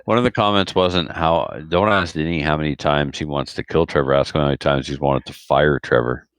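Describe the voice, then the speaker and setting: Very deep-voiced man, podcast host. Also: steady tone, brisk, a bit bright, smooth, no room sound. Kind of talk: casual podcast chat.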